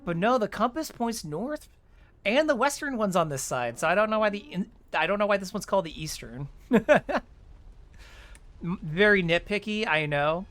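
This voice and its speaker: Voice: mid-range voice, lively intonation, moderate pace, fairly bright tone. A chatty, informal streamer thinking aloud and explaining each step while playing through an online game. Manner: chatty, informal, mild swearing. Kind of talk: casual game walkthrough commentary.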